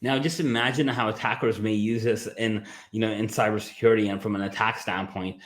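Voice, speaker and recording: Male security co-host, lower voice, relaxed and filler-heavy. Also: fairly quick. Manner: filler-heavy, says "you know" and "like" a lot. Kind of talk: casual tech chat.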